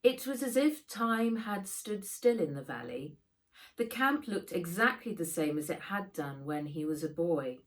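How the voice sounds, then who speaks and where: A woman reading a children's adventure novel aloud, in measured, dramatic storytelling. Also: on the low side, lively intonation. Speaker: author reading own book aloud. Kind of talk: scripted audiobook narration for children.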